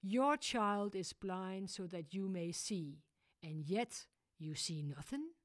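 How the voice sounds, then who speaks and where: A woman voicing a stern, cold male church dean who scolds loudly. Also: high voice, lively intonation, slow, no echo, studio quality. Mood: condemning. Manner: harsh, scolding, loud. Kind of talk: audiobook character voice.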